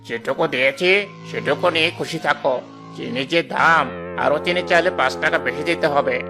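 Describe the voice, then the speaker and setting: A man's voice: a little high, a little fast, creaky, pitched below the performer's own voice. A man voicing a stingy, grumbling village tea seller in a cartoon, curt and scolding. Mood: grudging, snappy. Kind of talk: cartoon dub. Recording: music behind the voice.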